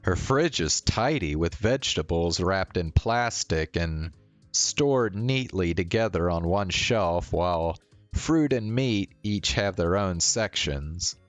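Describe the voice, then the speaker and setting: A man's voice: sits low, animated, takes it slowly, dry recording, soft background music. A man narrating a visual novel aloud as a streamer.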